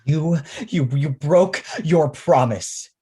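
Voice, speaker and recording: An actor playing an outcast monster, pleading and vengeful, in a dramatic staged reading, halting and intense with a husky voice. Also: medium pitch, mellow. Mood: bitter menace. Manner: intense, halting, swinging from whispers to cries.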